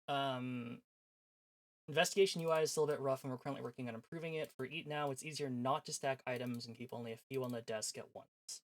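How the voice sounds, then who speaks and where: A man chatting casually as a livestream host, sarcastic and self-deprecating, with some swearing. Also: medium pitch. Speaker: live streamer hosting visual novel previews. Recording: voice over background music.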